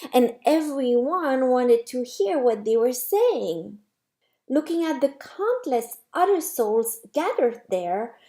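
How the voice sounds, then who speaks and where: A woman reading a story aloud in an expressive storybook narration style. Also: high-pitched. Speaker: story reader.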